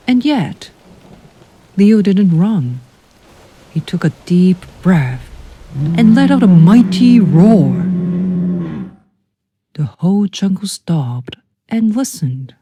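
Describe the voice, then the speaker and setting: A woman narrating a children's storybook as a storyteller, with deliberate, expressive phrasing. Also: on the low side, breathy edge. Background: background music.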